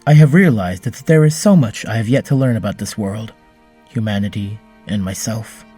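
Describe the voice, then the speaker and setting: Audio drama android hero played by a man: earnest, formal, soft dark tone, faint music beneath. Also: fairly low pitch, moderate pace, breathy edge. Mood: earnest, tender. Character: earnest, formal, gentle male android superhero.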